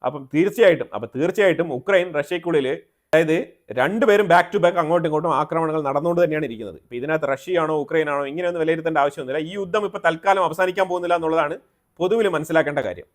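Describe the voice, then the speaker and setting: Fast-talking Malayali man, higher-pitched voice. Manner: repeats and rephrases phrases, mixing in English terms. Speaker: news commentary host. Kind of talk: explanatory news commentary to viewers.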